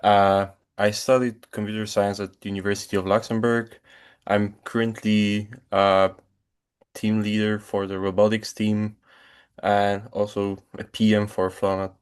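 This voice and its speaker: A man's voice: fairly low pitch, little pitch movement, a little slow, clean tone. A man in his twenties from Poland who leads a robotics team, giving a brief, plain introduction with a few fillers. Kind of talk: casual meeting self-introduction.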